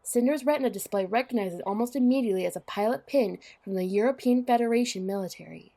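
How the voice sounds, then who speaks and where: A woman's voice: a little high, fast talker, warm tone. A woman narrating a scripted story for an audio drama.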